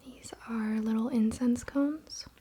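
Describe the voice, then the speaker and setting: A young woman whispering in a breathy, gentle, casual way as she hosts a spiritual ASMR ritual. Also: a little high, fairly even intonation, slow. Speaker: ASMR host. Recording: faint background noise, soft clicks.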